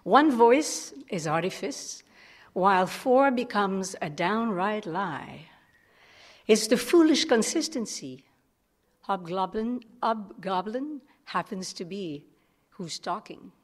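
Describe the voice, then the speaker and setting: Slow-spoken woman at a live tribute. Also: medium pitch, lively intonation. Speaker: speaker and performer at a tribute. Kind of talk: informal introduction and poem recitation. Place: live tribute event in a church.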